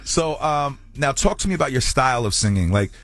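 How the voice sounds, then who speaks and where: A man's voice: mid-range voice, sing-song, brisk, a bit bright. A man hosting a radio interview in a studio, joking and bantering with his guest. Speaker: radio DJ host.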